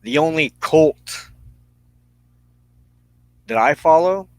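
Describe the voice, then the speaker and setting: A man hosting a personal spiritual talk, speaking in deliberate, halting phrases with firm emphasis. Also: fairly high pitch, animated, dry recording. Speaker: host of a weekly witchcraft broadcast.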